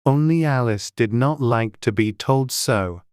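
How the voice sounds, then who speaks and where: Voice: mid-range voice, unhurried, darkish tone, clear, resonant tone, no echo, studio quality. An audiobook narrator reading a dramatized storybook.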